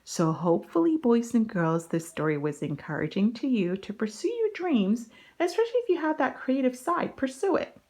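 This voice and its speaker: A woman's voice: fairly high pitch, very animated, moderate pace, few pauses, darkish tone. A woman reading a children's story aloud as host, speaking directly to young listeners in an instructive, encouraging way. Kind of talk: children's story read-aloud with teacherly asides.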